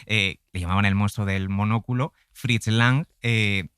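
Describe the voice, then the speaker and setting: A man hosting a casual interview, thinking aloud in a halting, digressive way. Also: fairly low pitch, steady tone, fairly bright tone, smooth, dry recording, studio quality. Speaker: podcast interviewer.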